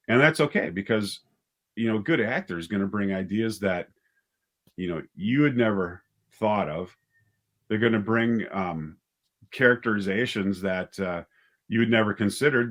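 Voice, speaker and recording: A man speaking casually as an interview guest, a writer and director thinking aloud in a hesitant, conversational way. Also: fairly low pitch.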